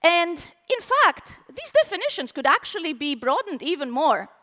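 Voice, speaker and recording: A woman with a very high-pitched voice giving a lively, playful tech talk at a live conference, asking the audience questions. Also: normal speed, big-room sound. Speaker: conference speaker.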